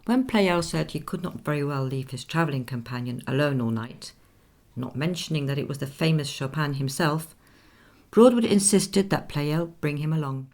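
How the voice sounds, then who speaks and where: Low-voiced, creaky woman storyteller. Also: animated, a little mellow. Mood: composed, narrating. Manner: measured, formal storytelling. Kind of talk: scripted radio play narration.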